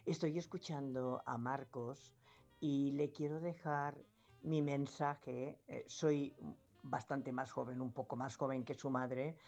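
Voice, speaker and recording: Low-voiced Spanish grandmother calling in. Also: steady tone, takes it slowly, a little mellow. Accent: Spanish from Spain. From La Mancha, Spain. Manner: earnest, insistent, repeats herself, many hesitations. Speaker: radio caller. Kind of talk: emphatic advice on a phone-in. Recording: dull lo-fi phone-in line with faint music.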